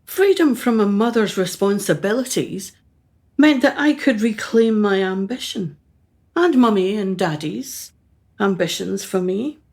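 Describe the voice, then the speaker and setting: A woman's voice: mid-range voice, lively intonation, unhurried, darkish tone. An actress playing a haughty, self-absorbed upper-class mother in a radio play, with a brusque, imperious manner and clipped phrases.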